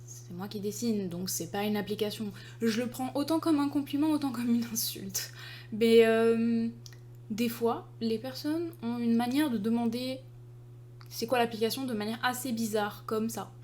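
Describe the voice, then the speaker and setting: Young woman from France, slightly high voice, casual and lively, exasperated vlog storyteller. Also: moderate pace, a little hiss or hum. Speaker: artist and video creator. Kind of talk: casual chat to the camera.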